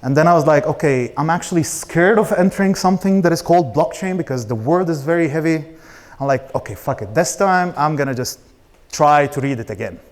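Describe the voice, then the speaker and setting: A man's voice: a little high, brisk. A man in his thirties from Israel, an Arabic speaker, giving an informal, blunt personal talk as a startup founder. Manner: casual, blunt, swearing.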